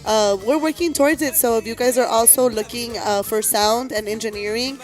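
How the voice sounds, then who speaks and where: A woman, chatting as a podcast guest in a deep, husky voice and mixing English with Spanish. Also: brisk, soft background music. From South Texas. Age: 30s. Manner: switches between English and Spanish mid-sentence. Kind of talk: casual chat.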